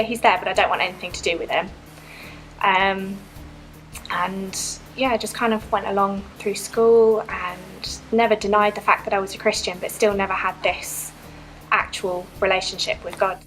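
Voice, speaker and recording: A woman's voice: mid-range voice, a bit bright, poor audio. Earnest young woman telling her faith story. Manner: earnest, frequent ums. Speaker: church member giving baptism testimony. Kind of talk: personal testimony, reflective.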